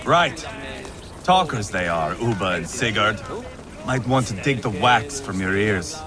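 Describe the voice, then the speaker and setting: An actor playing a brash, bloodthirsty Viking warrior in a game, sneering and crude with foul-mouthed swagger. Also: male voice, lowish voice, lively intonation, unhurried, a crowd in the background. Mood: sardonic.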